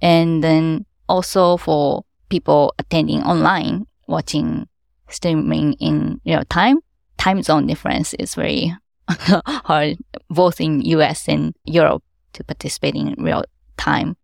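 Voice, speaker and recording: A woman with a low, heavily gravelly voice speaks careful non-native English; her first language is Japanese. She explains things earnestly and laughs lightly along the way. The sound is dry and close, with no room around it. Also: slow, darkish tone, no room sound. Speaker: event organizer. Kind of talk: interview answers.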